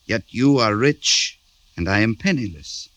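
Envious poor villager, probing, insistent, animated intonation, dry close sound, radio drama. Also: male voice, lowish voice, unhurried, no echo. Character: poor, envious, prying Russian village drinking companion. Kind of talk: classic radio drama acting.

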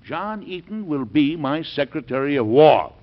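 An actor playing a stubborn older male president who speaks bluntly and forcefully, in an old-time radio drama with a dull recording. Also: fairly high pitch, very animated, normal speed. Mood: defiant. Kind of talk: 1940s radio acting.

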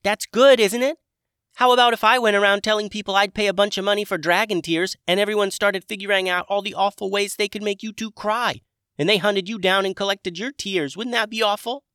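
Squeaky wood sprite girl, a man putting her on, bright and chirpy, high, storybook reading. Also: a little fast, clear, resonant tone, no room sound, clean studio sound. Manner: chirpy, lively, high-pitched. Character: tiny cheerful female wood sprite, squeaky.

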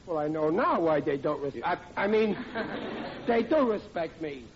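A man's voice: fairly high pitch, expressive, unhurried. A man playing a dim, happy-go-lucky American working stiff who blusters through a 1940s radio comedy. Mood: defensive. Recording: hissy, dull vintage radio broadcast.

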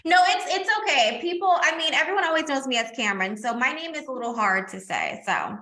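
Chatty woman guest with a very high, bright, sharp voice. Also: fast talker. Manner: chatty and energetic, calling the host "girl". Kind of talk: casual interview chat.

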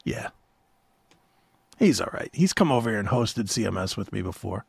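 A man's voice: on the low side, big pitch swings, moderate pace, gravelly, breathy edge, no room sound. A man hosting a talk show in a casual chat, with irreverent banter and loose swearing.

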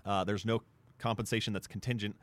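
A man's voice: on the low side, steady tone, brisk. A man co-hosting a sports talk show, explaining things point by point in a discussion. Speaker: sports radio show co-host.

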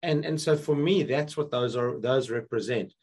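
A man with a husky, croaky voice, hoarse from being unwell, speaks as a guest over a video call. He tells a story in a relaxed, chatty way, often stumbling and restarting his sentences. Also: medium pitch, moderate pace. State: hoarse, recovering from illness. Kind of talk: casual interview chat.

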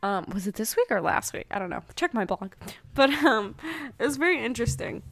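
A woman's voice: a little high, very animated, brisk, no echo. Chatty young woman, dry close voice. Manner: rambling, chatty, lots of ums. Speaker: spiritual podcaster. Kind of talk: casual card-reading chat.

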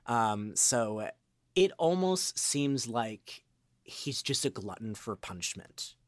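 A man speaks casually as an interview guest. His delivery is unscripted and hesitant, rambling through asides at a middling pitch. The sound is dry, with hardly any room on it. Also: very animated, takes it slowly, no echo.

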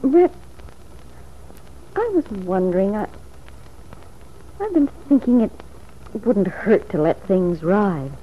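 A woman's voice: mid-range voice, lively intonation, slow pace, pauses often. An actress playing a troubled, wavering wife who plots with her lover in a radio drama, earnest and hesitant. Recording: tinny, noisy old radio recording.

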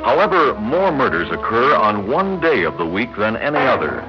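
A man with an American accent narrating a radio drama in a measured, formal, emphatic documentary style. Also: mid-range voice, big pitch swings. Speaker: narrator and announcer. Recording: muffled radio broadcast over loud music.

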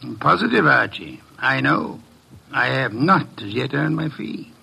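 An actor playing a shrewd, pedantic middle-aged private detective with a gravelly, precise and pompous delivery, in an old-time American radio drama. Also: male voice, medium pitch, expressive, unhurried, sharp tone, exaggerated voice. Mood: dry, superior.

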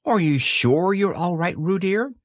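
A man's voice: high-pitched, animated, measured pace, no echo, clean studio sound, voice pushed up. A man voicing an anxious, tender mother kangaroo fussing over her child in a storybook. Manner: worried, tender.

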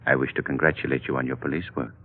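An actor playing a wise, fatherly older Chinese gentleman with formal, measured, flowery speech in a 1940s radio drama. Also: a bass voice, steady tone, husky. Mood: solemn, fatherly. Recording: narrow old radio recording with music.

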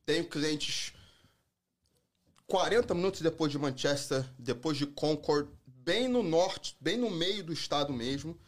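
A man's voice: on the high side, lively intonation, unhurried. A man from Brazil with a strong Rio accent, a guest in a casual podcast chat, talking emphatically. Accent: carioca. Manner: emphatic, swears he never felt prejudice.

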